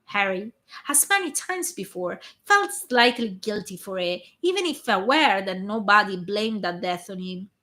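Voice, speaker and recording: A woman reading a story aloud with a hoarse voice. Her tone is bright and forward. She keeps a steady narrating pace, now and then tripping over a word and starting again. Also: mid-range voice, measured pace. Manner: steady reading with small slips and restarts. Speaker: fan fiction reader.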